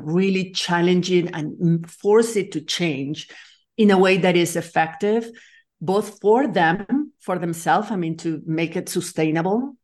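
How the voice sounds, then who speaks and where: Low-voiced Nicaraguan woman, thoughtful guest. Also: darkish tone. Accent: Nicaraguan Spanish. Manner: thoughtful, restarts and searches for words. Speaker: guest, leadership coach. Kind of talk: conversational interview.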